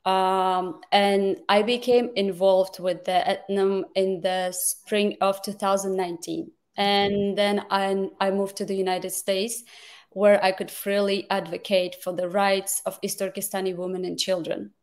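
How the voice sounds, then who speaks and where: Woman guest from Kazakhstan, halting speech full of restarts, mid pitch. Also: level tone. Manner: halting, many fillers and restarts. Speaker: guest advocate. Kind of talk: interview answers.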